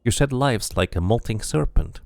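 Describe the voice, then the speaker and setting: A man voicing a solemn male dabus tattooist who speaks in slow, cryptic pronouncements. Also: medium pitch, expressive, gravelly, no room sound.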